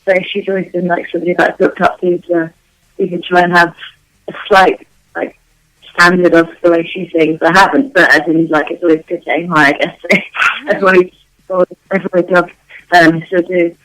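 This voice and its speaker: A woman singer being interviewed, her voice worn out and going hoarse, chatting loosely with lots of ums and restarts. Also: lowish voice, level tone, normal speed, a bit bright, no echo, rough recording. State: losing her voice. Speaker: guest vocalist. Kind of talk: casual interview answers.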